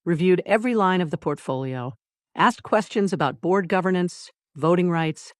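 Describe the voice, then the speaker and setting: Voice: medium pitch, no room sound. Story narrator, close dry sound. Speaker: audiobook narrator. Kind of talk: scripted dramatic story narration.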